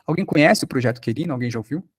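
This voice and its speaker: A man's voice: medium pitch, animated, rapid-fire, husky, no echo. Brazilian man teaching, in a dry recording. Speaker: workshop instructor. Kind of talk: informal online lecture with examples.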